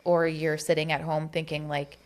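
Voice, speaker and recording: A woman's voice: deep voice, level tone, moderate pace. A woman co-hosting a podcast, talking candidly and earnestly about getting involved in politics, with casual swearing. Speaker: podcast co-host.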